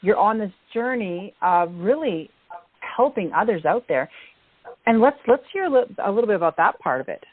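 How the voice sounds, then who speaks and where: A woman's voice: mid-range voice, big pitch swings, normal speed, husky, dry recording. A woman hosting a conversational interview, effusive and affirming, with emphatic phrasing. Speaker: podcast host, interviewer. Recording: dry but phone-like narrow sound.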